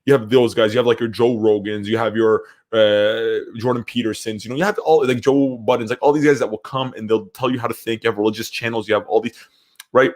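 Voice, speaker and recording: A man's voice: mid-range voice, steady tone, quick pace, a little mellow. A man from Syria talking casually and emphatically as an opinionated podcast host, repeating phrases to drive points home.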